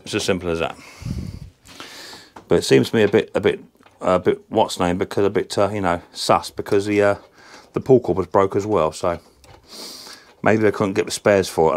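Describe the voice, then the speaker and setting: A man hosting a casual lawnmower repair vlog from his workshop, his voice croaky from a sore throat, chatty and rambling with lots of ums. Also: fairly low pitch. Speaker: lawnmower repair channel host.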